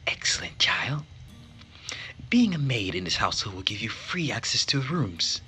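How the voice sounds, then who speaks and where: A man voicing a sinister, controlling mother figure in a visual novel, in a breathy whisper. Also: mid-range voice, very animated, moderate pace, sharp tone. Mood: scheming. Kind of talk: visual novel character read-aloud. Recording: music behind the voice.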